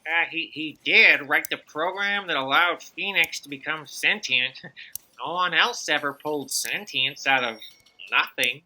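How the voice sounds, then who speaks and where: A woman's voice: deep voice, measured pace, sharp tone, exaggerated voice, rough recording. A woman voicing a hesitant, thoughtful female crew member who stammers as she reasons.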